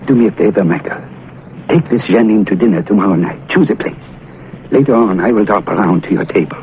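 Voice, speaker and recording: Noisy tinny 40s radio, guy playing a smooth-mannered ruthless male spy, talks formal and a bit stilted, cynical. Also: fairly low pitch, a little fast, natural voice. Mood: cold, menacing. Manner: suave, formal, stilted foreign-sounding phrasing. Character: ruthless, cynical male anti-Nazi secret agent and driver. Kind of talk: 1940s radio drama acting. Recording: scratchy, noisy, narrow-band old radio recording.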